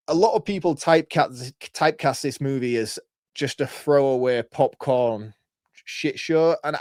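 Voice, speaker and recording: A man co-hosting a casual film podcast at mid pitch. He gives blunt, opinionated takes and teases his co-host, with the odd swear word. The sound is dry and close, with no room behind him. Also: a little mellow, dry recording. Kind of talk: casual film review chat.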